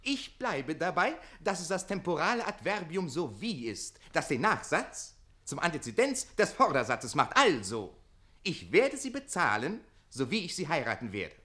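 An actor playing a cocky, quick-witted young man defending himself in a comic radio play, indignant and theatrical. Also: on the high side, sing-song, sharp tone. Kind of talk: German radio play comedy acting.

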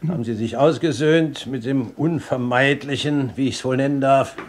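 An actor playing an older pirate captain, suave and commanding, speaking with courteous irony and quiet menace in a radio play. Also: male voice, mid-range voice, fairly even intonation, normal speed, a little hiss or hum, lightly acted. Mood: calm, courteous. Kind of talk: 1970s German radio play acting.

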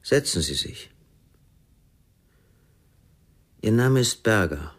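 Radio crime play: businesslike therapist, deep voice, formal, curt, unyielding. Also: male voice, lively intonation, normal speed. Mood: coolly formal. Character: cool, businesslike, unyielding male psychologist.

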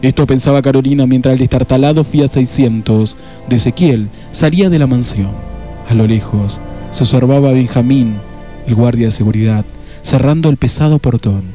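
A man's voice: mid-range voice, moderate pace, mellow. An Argentine man narrating a scripted radio drama as its storyteller. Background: faint music.

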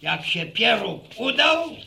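A man's voice: high-pitched, very animated, takes it slowly, creaky, sharp tone, a little hiss or hum, heavily acted. An actor playing a jovial old village grandfather in a radio drama, chuckling and rambling through sayings and tales. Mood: folksy, amused. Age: older. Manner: chuckling, folksy, rambling storyteller. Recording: roomy echo.

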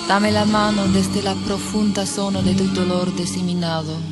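A man's voice: high voice, little pitch movement, moderate pace, natural voice. A man playing a solemn orator, reciting poetry dramatically in a radio play. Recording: loud guitar music behind.

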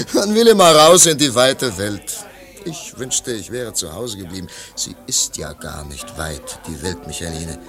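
Washed-up wistful artist in a radio play, theatrical, halting, voice going up and down a lot. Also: male voice, lowish voice, very animated, fairly bright tone, faint music behind. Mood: resigned, ironic. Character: disillusioned, wistful male artist musing over wine. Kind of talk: German radio play acting.

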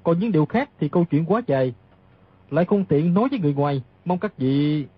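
An actor playing a proud, troubled learned physician who speaks formally in a radio drama. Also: male voice, fairly high pitch, animated, fast talker, slightly rough, no echo, phone-quality sound. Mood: explanatory. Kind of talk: dramatised audiobook reading.

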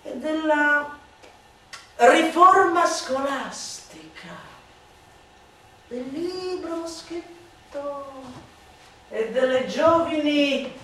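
A woman delivering a satirical radio monologue as a stage actress, declamatory and mocking, with drawn-out emphatic words and exaggerated swoops. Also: sits high, expressive, fairly bright tone, slight background noise. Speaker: stage actress reciting a literary text. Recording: very echoey, distant from the mic.

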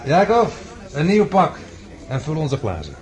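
An actor playing a suave, taunting gambler in a radio drama, mock-courteous and smug. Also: male voice, on the high side, wildly swooping pitch, a little slow, a little mellow. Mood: smooth, in control. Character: suave, taunting male gambler, coolly gloating over his victim. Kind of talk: radio drama acting in Dutch. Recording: radio play with crowd noise behind.

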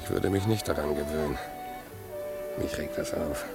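An actor playing a tough, impulsive crook with a romantic streak in a 1950s German radio play, blunt and rough-spoken. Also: male voice, extremely low pitch, normal speed, loud music behind the voice. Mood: smitten.

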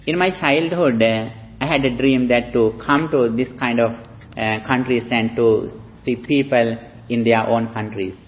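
A man, a Buddhist monk from Sri Lanka with a Sri Lankan accent, giving a slow, halting dharma talk with pauses for an interpreter. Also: medium pitch, slight background noise. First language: Sinhala. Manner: slow, halting speech with many fillers. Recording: dull lo-fi recording.

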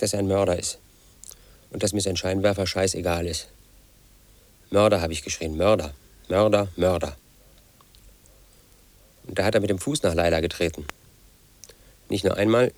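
An actor playing a brooding, paranoid man in his thirties, telling his own story as a flat inner monologue in a radio play. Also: low voice, normal speed, pauses often, no echo. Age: 30s. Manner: flat, brooding, quietly obsessive.

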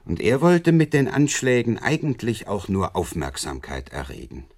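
Deep-voiced smug police investigator. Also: male voice, wildly swooping pitch, normal speed, darkish tone, full character voice. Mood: amused. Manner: condescending, wry, self-assured. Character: smug, amused Scotland Yard police investigator. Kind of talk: German radio drama acting.

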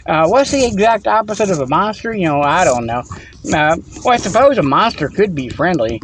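A man with a high voice rambles on, chuckling and restarting his sentences as he teases. He records it himself on a phone. A faint hum of background noise sits under him. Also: very animated, fairly quick. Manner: playful, teasing. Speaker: host. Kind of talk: rambling comic monologue.

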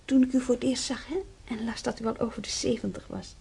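A woman's voice: on the high side, level tone, fast talker, vocal fry, mellow, breathy edge, heavily acted. An actress playing a kind, chatty young nurse in a radio drama, soothing and coaxing as she fusses over a patient. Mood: coaxing, friendly. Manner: caring, coaxing, fussing. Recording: slightly noisy old radio play recording.

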